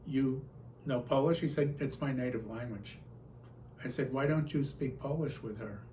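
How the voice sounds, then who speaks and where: A man speaks as a conference storyteller, relaying a personal anecdote and its dialogue in an expressive way. His voice sits at an average pitch for a man. There is audible noise behind him in the recording. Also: moderate pace. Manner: animated storyteller, acts out quoted exchanges.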